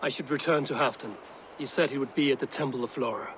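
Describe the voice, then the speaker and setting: A man voicing a serious, watchful Viking warrior in a video game, measured and quietly questioning. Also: mid-range voice, close to an everyday voice. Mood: probing. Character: steady, watchful Viking warrior, loyal and probing. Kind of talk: video game cutscene acting. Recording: long echoing reverb, faint ambient noise.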